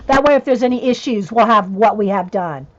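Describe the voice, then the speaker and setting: A woman's voice: mid-range voice, a little fast. A woman chatting casually while she colors on a livestream, rambling and answering viewers as she goes. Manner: chatty, rambling, reads chat messages aloud and answers viewers. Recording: dull lo-fi livestream audio.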